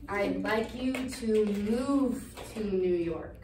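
Actress as insistent big sister, high-voiced, echoey room. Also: female voice, lively intonation, a little fast. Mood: persuasive, firm. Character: controlling, practical elder sister, defensive and insistent. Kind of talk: dramatic stage-style family dialogue acting. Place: echoey room, recorded from a distance. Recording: roomy, distant mic with faint noise.

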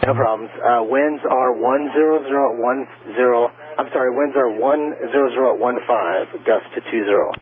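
A man's voice: medium pitch, moderate pace, few pauses, breathy edge, narrow, tinny audio. An American man working as an air traffic controller, giving informal, reassuring instructions over the radio. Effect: radio transmission.

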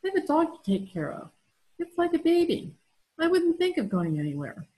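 A woman's voice: very high-pitched, very animated, brisk, mellow, rough recording. An older woman playing a gushing, fretful, stubborn wife in an old-time radio comedy, recorded at home over a video call. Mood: anxious. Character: doting, excitable, stubborn wife smitten with puppy.

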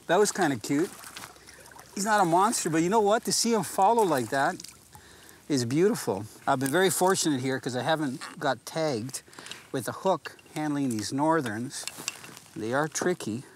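A man's voice: a little high, moderate pace, slight background noise. A man in his sixties hosting a fishing show, explaining technique from a kayak on a lake. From Canada. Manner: relaxed and explanatory, easily excited by a catch. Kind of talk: casual outdoor fishing commentary.

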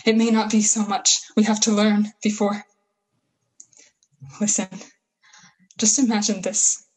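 Husky, scheming survivor soldier, played by a woman. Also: medium pitch, level tone, darkish tone. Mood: fervent, scheming. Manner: folksy, slangy, halting emphatic phrases. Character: bitter, scheming survivor soldier with grand mad plans. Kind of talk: 1930s-style radio play acting.

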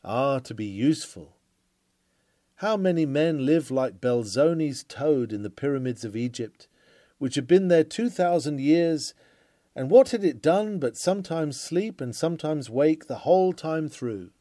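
A man's voice: on the high side, animated, a little slow, warm tone, dry recording, clean studio sound. A man reading an old-fashioned sermon aloud in an earnest, oratorical preaching manner. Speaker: sermon reader. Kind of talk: dramatic read-aloud of a Victorian sermon.

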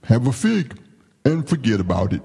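A man voicing a calm, level-headed big gorilla in a children's storybook. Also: mid-range voice, normal speed, gravelly, husky, full character voice. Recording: reverberant hall-like room echo.

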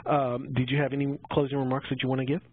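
Husky male moderator on phone line. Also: medium pitch, flat delivery, quick pace, dry recording. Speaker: webinar moderator. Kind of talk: casual, polite hosting. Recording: tinny phone-like webinar line.

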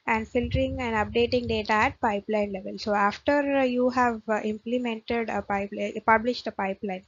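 Woman, tutorial voice-over, fairly high voice, filler-heavy explaining, dry dull recording. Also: fairly quick, no room sound. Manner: steady explanatory talk with frequent "okay" and "uh" fillers. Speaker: data engineering tutor. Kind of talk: explaining interview questions over slides.

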